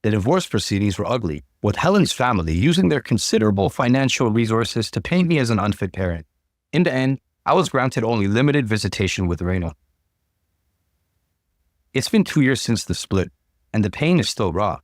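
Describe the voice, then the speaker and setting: A man reading a scripted relationship story aloud in an expressive, dramatic narrating style. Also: on the low side, a little fast, dry recording, clean studio sound. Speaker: narrator reading online stories.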